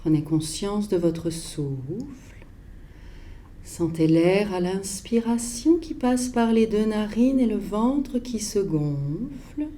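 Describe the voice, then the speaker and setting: A woman guides a relaxation session as a yoga teacher, speaking slowly and calmly in short, spaced instructions. Her voice is mellow, with a lively rise and fall in pitch. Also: slight background noise. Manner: calm, measured, pausing between short instructions.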